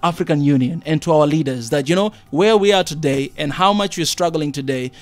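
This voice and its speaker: An earnest man from Tanzania talks with real conviction and keeps repeating his words for emphasis. His voice sits high for a man. He jumps in with quick agreement when others speak. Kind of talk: passionate conversation.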